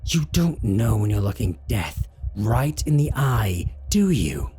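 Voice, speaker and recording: Man acting as male vampire, low-pitched, unhurried, menacing, possessive, seductive, theatrical audio roleplay. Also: very animated, dark tone, husky, heavily acted. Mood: hungry, taunting. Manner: theatrical, drawn-out, mock-tender, threatening. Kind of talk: scripted roleplay audio drama.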